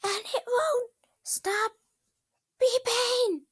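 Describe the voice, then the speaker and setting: Woman acting a shrill, enraged pony princess. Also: fairly even intonation, drawn-out words, fairly bright tone, no room sound, clean studio sound, full character voice. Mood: shrill frustration. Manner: melodramatic, exasperated ranting. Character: enraged young female pony princess, ranting melodramatically. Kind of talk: amateur fan fiction character reading.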